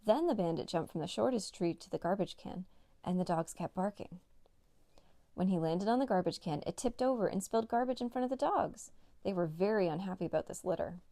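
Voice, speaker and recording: A woman's voice: lowish voice, lively intonation, a little fast, vocal fry, dark tone, no echo. A woman narrating a children's read-along story as a storyteller.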